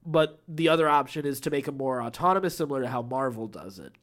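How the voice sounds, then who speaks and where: A man's voice: medium pitch, expressive, fast talker, a bit bright. A man co-hosting a podcast, chatting casually about film news. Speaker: podcast co-host.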